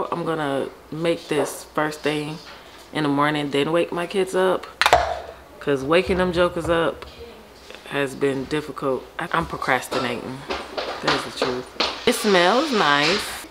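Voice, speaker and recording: An American woman in her thirties with a deep early-morning voice, chatting candidly and informally to camera. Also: unhurried, very noisy. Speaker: vlogger. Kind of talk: casual chatty vlog to camera. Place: home kitchen and upstairs rooms, indoors.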